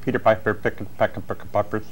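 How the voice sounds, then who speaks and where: A man playing an aggrieved fired air traffic controller in a deadpan comedy interview, halting with a heavy stutter, repeated words and frequent throat clearing. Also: fairly low pitch, natural voice. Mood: halting, earnest.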